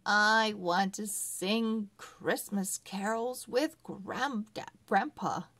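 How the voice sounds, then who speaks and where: A woman's voice: mid-range voice, drawn-out words, a bit bright. A woman voicing a curious young boy in a Christmas storybook, with emphatic, careful delivery. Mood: eager.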